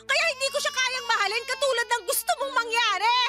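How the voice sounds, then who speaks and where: A woman's voice: extremely high pitch, a little fast, music in the background. An actress playing a bitter, defiant young mother in a Filipino radio drama, snappy and sarcastic, with a Filipino accent. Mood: bitter, resentful.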